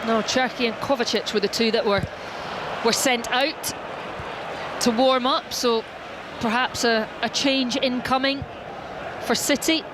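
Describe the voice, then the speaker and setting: A woman doing live football play-by-play commentary, steady and descriptive. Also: fairly high pitch, measured pace. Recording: stadium crowd and noise behind.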